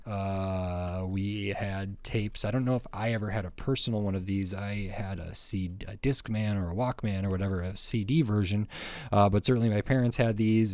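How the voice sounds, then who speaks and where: A middle-aged man giving a casual hands-on review, speaking in a halting, hesitant way with frequent pauses. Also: on the low side, a little mellow, no echo. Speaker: toy review channel host.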